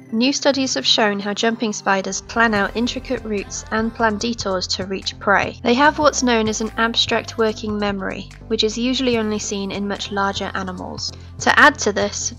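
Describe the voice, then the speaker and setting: Channel host, young woman, a bit hoarse, calm teaching delivery over light music. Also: medium pitch. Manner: steady explanatory delivery. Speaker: pet-keeping channel host. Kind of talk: informative pet-care narration. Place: home room with reptile tanks.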